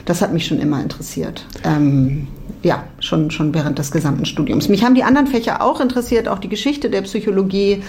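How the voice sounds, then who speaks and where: A woman from Berlin in her forties answering interview questions thoughtfully, often pausing and restarting her sentences. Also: mid-range voice, darkish tone, slight background noise. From Berlin, Germany. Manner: thoughtful, many restarts and hesitations. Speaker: psychology professor.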